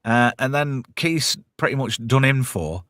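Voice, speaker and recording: A British man hosts a casual pop culture chat in a slangy, irreverent way. His pitch swings widely as he talks, and he swears freely. The sound is close and dry. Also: lively intonation, unhurried, a bit bright, no echo. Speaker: host of a pop culture review show.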